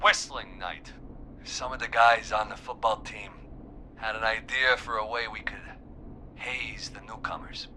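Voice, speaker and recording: An actor playing a wounded, guilt-ridden small-town man in a video game, weary and halting as he confesses over a call. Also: on the low side, steady tone, sharp tone, slight background noise, poor audio. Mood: grieving, guilty. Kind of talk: dramatic video game voice acting.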